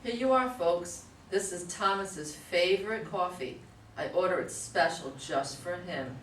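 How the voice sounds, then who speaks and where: A woman's voice: lowish voice, a little slow, natural voice. Lady doing chatty secretary who adores the boss, play reading, echoey, faint noise. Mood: gushing. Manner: gushing, eager to please. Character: chatty, devoted secretary gushing about her boss. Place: room at a live readers' theater reading. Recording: echoey room, distant mic, faint noise.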